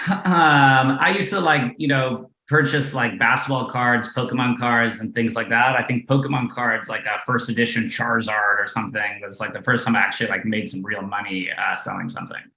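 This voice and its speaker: A man's voice: medium pitch, moderate pace, few pauses, echoey room, low-quality recording. A man being interviewed as a guest investor, talking casually with many fillers and tech jargon. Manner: filler-heavy, relaxed, jargon-laden.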